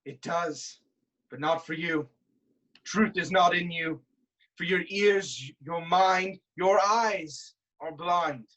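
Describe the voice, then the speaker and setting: An actor plays a proud king of ancient Thebes in a staged reading of Greek tragedy. The voice sits at a middle pitch. The delivery is formal and commanding, full of suspicion and hot-tempered accusation. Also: takes it slowly, a bit bright, low-quality recording. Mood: outraged, scornful.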